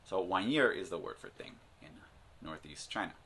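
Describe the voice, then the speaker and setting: A man's voice: sits low, animated, normal speed, pushed and bright, breathy edge. A man hosting a language-learning channel, talking casually to the camera and rambling with many fillers and restarts. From United States.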